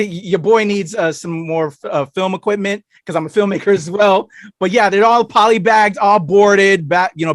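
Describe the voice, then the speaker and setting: A man hosting a podcast interview over a video call, with hype-man energy and loose, chatty shout-outs. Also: high voice, no echo, clean studio sound. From United States. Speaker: podcast host. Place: home room full of toys and collectibles.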